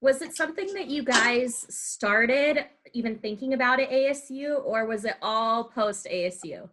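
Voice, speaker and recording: A woman's voice: on the high side, lively intonation, normal speed, fairly bright tone. A young woman with an American accent, hosting a casual interview in a chatty, encouraging way. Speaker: podcast host.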